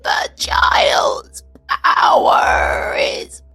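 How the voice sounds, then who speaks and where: A woman playing a menacing banshee with a harsh, croaking screech in a fantasy audio drama. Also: drawn-out words, pushed and bright, faint music behind. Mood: menacing, hungry. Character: shrieking, rasping banshee, hungry for life force. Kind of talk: fantasy audio roleplay voice acting.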